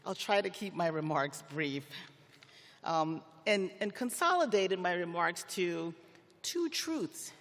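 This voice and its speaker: A middle-aged man from Liberia delivering a prepared commencement speech in a measured, formal, deliberate way. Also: a little high, fairly bright tone. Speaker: commencement speaker, federal magistrate judge. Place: large indoor arena, on stage at a ceremony. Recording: long reverb of a large arena.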